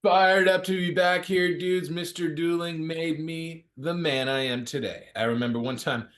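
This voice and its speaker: A man's voice: a little high, steady tone, moderate pace, fairly bright tone, close to an everyday voice. A man playing a friendly, laid-back young dude at a table read, talking in a casual, slangy way.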